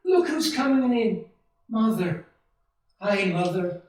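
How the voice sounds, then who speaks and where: A woman's voice: medium pitch, expressive, slow pace, darkish tone. Woman acting an elated family man, very echoey. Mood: amazed, happy. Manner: exclamatory, effusive, breathless repetitions. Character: elated, loving husband and father, newly grateful for life. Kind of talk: live radio play acting. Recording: echoey hall, distant mic.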